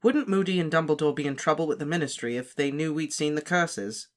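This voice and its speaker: A man's voice: a little high, fairly quick, natural voice. An earnest, troubled teenage schoolboy, as a male narrator puts him on, speaking plainly and questioningly, close to the narrator's own voice.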